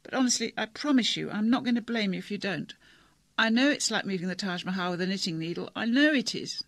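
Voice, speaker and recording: A narrator voicing a tearful, anxious teenage girl who keeps apologizing, in a high, breathless, rushed way. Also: very animated, a bit bright, no room sound. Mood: tearful pleading.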